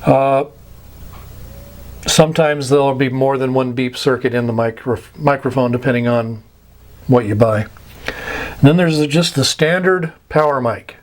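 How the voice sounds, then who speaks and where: A man giving an informal explanatory lecture as a radio instructor, speaking deliberately in short, halting phrases and repeating words for emphasis. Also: mid-range voice. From United States.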